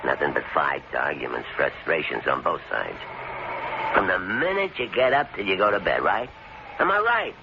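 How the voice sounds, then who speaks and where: A man's voice: deep voice, very animated, normal speed, creaky, heavily acted. An actor playing a menacing killer in an old-time radio drama, mocking and drawling as he needles his captives. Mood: cold, needling. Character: menacing, taunting killer holding a couple captive. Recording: muffled, very noisy old radio recording.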